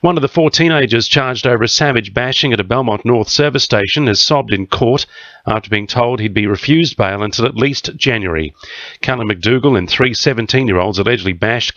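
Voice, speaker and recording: A man's voice: lowish voice, brisk, a bit bright, no room sound, lo-fi sound. An Australian man reading a scripted radio news bulletin as the newsreader.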